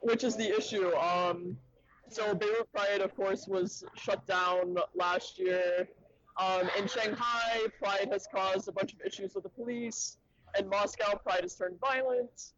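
A man's voice: sits high, steady tone, measured pace, fairly bright tone, dry recording. A young man from Arkansas, a podcast guest speaking thoughtfully and academically, with frequent ums and uhs. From Little Rock, Arkansas, USA. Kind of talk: casual interview talk. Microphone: remote call from a conference. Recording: dull lo-fi sound, dry.

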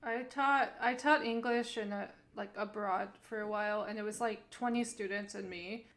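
A woman's voice: medium pitch, steady tone, normal speed, a bit bright, a little hiss or hum. A woman co-hosting a podcast in casual chat. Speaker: podcast co-host.

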